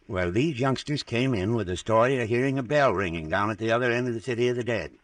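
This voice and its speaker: A man's voice: medium pitch, fast talker, lightly acted. An actor playing a folksy, superstitious small-town doctor in an old-time radio drama, rattled and stubborn, telling his story in hesitant rural phrases. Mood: spooked recounting. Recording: vintage radio recording, slightly distant.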